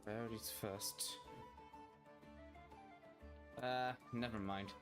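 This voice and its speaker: A man commenting casually while playing through a game, making quiet, wry asides to himself. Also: fairly low pitch, steady tone, drawn-out words. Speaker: gamer playing through the quest. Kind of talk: casual commentary over gameplay. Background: game music.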